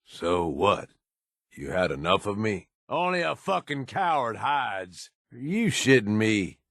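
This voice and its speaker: A man's voice: on the low side, very animated, unhurried, a bit bright, no echo, clean studio sound, heavily acted. An actor playing a cynical, foul-mouthed, hard-bitten raider henchman in a video game, talking in blunt tough-guy slang. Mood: angry, fed up. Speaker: game companion character.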